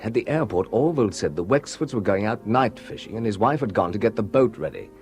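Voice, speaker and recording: A man's voice: lowish voice, lively intonation, fairly quick. An actor playing a curious young man on leave who senses something odd, in a radio play. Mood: hushed. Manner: curious, quietly alert. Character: young male officer on leave, amateur sleuth. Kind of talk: radio drama acting.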